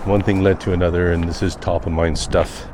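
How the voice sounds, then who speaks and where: A man with a fairly low voice shares unscripted thoughts for a daily vlog. He rambles reflectively, pausing to find his words. Clear vehicle and outdoor noise runs behind him. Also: level tone. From Canada. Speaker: daily vlogger. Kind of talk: unscripted personal vlog monologue. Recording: noisy outdoor recording with vehicle sounds.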